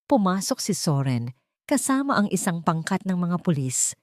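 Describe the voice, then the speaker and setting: A Filipino woman narrates a dramatic audio story in the first person, her voice sitting low. The recording is dry and close, with no room around it. She pushes her pitch and volume up when she voices other people's lines. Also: sing-song, moderate pace, no echo. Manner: rises in pitch and volume for quoted dialogue.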